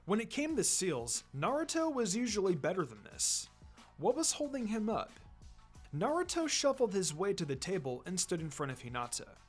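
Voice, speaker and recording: Animated man reading a story over music. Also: sits high, normal speed. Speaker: fan fiction reader. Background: background music.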